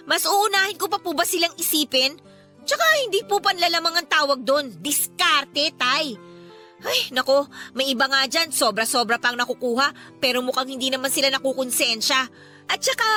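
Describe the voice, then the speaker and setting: Very high-voiced, frustrated teenage daughter. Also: female voice, bright, forceful tone. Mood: defensive. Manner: pleading, questioning, respectful to her father. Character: distressed teenage daughter of a poor flooded family. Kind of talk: Tagalog radio drama acting.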